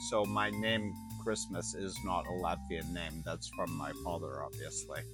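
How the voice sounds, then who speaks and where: A man's voice: low-pitched, steady tone, a little slow. A middle-aged American man from Chicago, a former banker, explaining his story earnestly in an interview. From Chicago, USA. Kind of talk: interview and walking tour. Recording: music playing under the voice.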